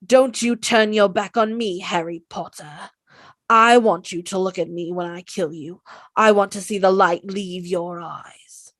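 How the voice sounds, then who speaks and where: A woman voicing a menacing male dark wizard, slow and dark, sinking into a whisper. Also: mid-range voice, steady tone, dry recording, clean studio sound, close to an everyday voice.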